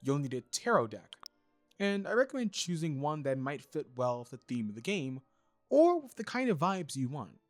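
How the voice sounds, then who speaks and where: A man hosting and narrating a scripted review, speaking in an emphatic, expressive way. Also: on the high side, moderate pace, dry recording, soft background music. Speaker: reviewer and host.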